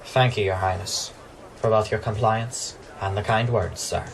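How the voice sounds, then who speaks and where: An actor playing a dutiful young knight gatekeeper in a fantasy radio drama, speaking in firm, formal proclamations. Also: male voice, lowish voice, fairly even intonation, measured pace, vocal fry, far from the mic, background noise.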